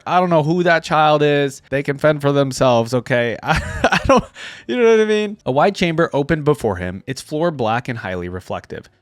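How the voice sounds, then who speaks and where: A man's voice: on the high side, no room sound, clean studio sound. A man hosting a reaction video, moving between reading stories aloud and informal, conversational commentary. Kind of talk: casual reaction commentary mixed with story read-aloud.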